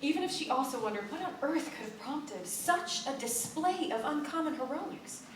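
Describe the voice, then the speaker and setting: A woman reading literary narration aloud on stage in a theatrical, deliberate way, in short fragments. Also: high voice, expressive. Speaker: stage reader in a live ensemble reading. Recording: echoey hall from far off, noisy.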